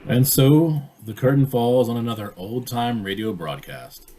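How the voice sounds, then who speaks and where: An actor playing an old-time radio announcer, closing the broadcast in a formal, declaiming way. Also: male voice, medium pitch, unhurried, mellow, a little hiss or hum, natural voice. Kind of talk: community theater radio play.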